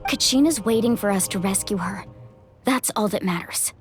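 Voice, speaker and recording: A woman plays a determined young warrior from a video game, speaking at a plain middle pitch. She sounds earnest and resolute. Light music plays underneath. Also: moderate pace, lightly acted.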